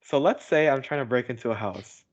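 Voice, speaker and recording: A man's voice: mid-range voice, a little fast. A young man chatting casually and in a rambling, giggly way as a podcast host on a voice call. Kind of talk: casual chat. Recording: dull, lo-fi call audio.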